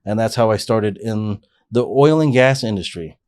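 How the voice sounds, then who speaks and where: A man's voice: fairly low pitch, normal speed, darkish tone. A man in his forties, a podcast guest, telling his life story in an earnest, rambling way with frequent restarts. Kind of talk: casual life-story interview.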